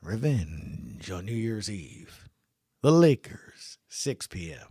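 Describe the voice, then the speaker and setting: A man's voice: fairly low pitch, very animated, slow pace, mellow, slightly rough, no echo, studio quality. A man hosting a sports podcast in casual talk, speaking emphatically in short punchy phrases and often repeating himself for stress.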